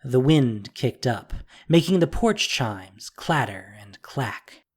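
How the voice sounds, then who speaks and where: A narrator reading a scripted fantasy audio drama in a measured, dramatic, descriptive way. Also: medium pitch, animated, slightly rough.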